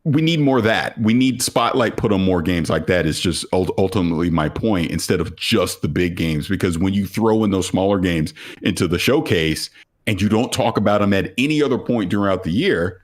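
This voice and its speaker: A man's voice: low-pitched, fairly quick. A man talking as a guest on a casual podcast, emphatic and opinionated.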